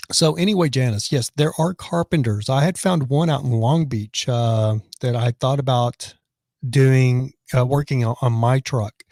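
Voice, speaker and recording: A man hosting a live stream from his office, reading viewer comments and rambling in casual chat, his voice raspy from choking on his dinner. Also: medium pitch, a little slow, a little mellow, no room sound, studio quality. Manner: rambling and chatty, many restarts.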